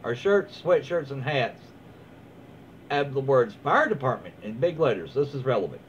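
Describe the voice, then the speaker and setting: A man's voice: medium pitch, sing-song, moderate pace. Guy reading stories n rambling along, real up and down voice, kinda muffled w background noise. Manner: folksy, rambling, easygoing. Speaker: host reading Reddit stories aloud. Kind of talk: casual reading with off-the-cuff commentary. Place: small room at home, indoors. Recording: dull lo-fi sound with clear background noise.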